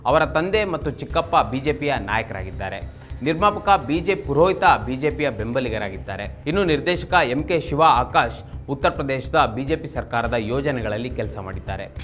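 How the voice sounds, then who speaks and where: A man's voice: mid-range voice, animated, moderate pace, fairly bright tone, faint music behind. A man from Karnataka presenting a scripted political news explainer, formal and expository, addressing viewers directly. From Karnataka, India.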